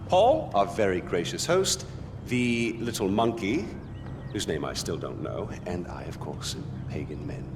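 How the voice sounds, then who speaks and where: An actor playing a genteel but volatile middle-aged male tyrant in a video game, theatrical and mock-courteous, given to pedantry and sudden swearing. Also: lowish voice. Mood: charming, theatrical. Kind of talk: theatrical game cutscene monologue.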